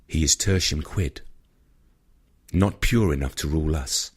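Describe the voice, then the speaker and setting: A man voicing an ancient, domineering vampire with a cool, measured, menacing manner in an audiobook story. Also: rumbling low, breathy edge, voice pushed down, heavily acted. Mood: cold contempt. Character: cold, domineering ancient male vampire, scheming and seductive.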